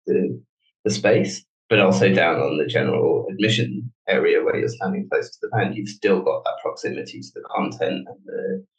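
A man, the guest, explaining his work in a relaxed, conversational way during an interview. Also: low-pitched, normal speed, reverberant, dull audio. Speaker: guest, technology director.

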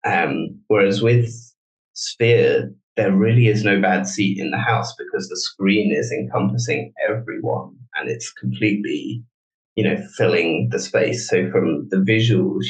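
A man, the guest, explaining his work in a relaxed, conversational way during an interview. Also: low-pitched, unhurried, echoey room, poor audio. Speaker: guest, technology director.

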